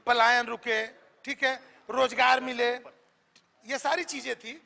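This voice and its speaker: A man's voice: very high voice, fairly even intonation, slow pace, creaky, pushed and bright. A man working as a TV reporter, questioning people in a political debate and insistently repeating himself to cut in. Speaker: TV reporter hosting a public debate. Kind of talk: street political vox-pop interviewing. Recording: reverberant, echoing sound.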